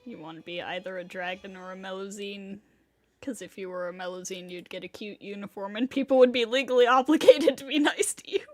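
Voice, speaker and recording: A woman's voice: mid-range voice, a little fast, no echo, faint music behind. A woman livestreaming a game with casual reaction commentary.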